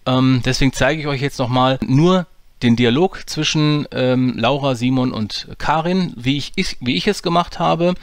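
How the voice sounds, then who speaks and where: A man's voice: medium pitch, no echo. Brief: male tutorial host, casual, hesitant, dry recording. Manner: casual, explanatory, frequent hesitations. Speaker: audio drama producer and tutorial host. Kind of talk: screen-recorded software tutorial.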